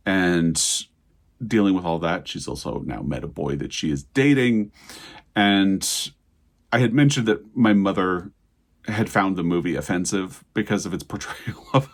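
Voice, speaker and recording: A man hosting a casual book vlog, talking conversationally with digressions and self-corrections. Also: sits low. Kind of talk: casual book chat to camera.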